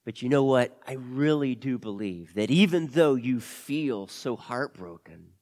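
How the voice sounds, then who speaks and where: A male storyteller playing a caring young girl in a tale, speaking gently and earnestly to console. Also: mid-range voice, lively intonation, measured pace, lightly acted. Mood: tender, earnest.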